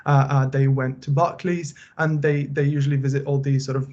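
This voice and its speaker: A young man from Bulgaria, a final-year student, giving a relaxed, conversational talk with many fillers. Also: medium pitch, a little fast, lo-fi sound. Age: young adult. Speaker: student presenter. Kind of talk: casual presentation.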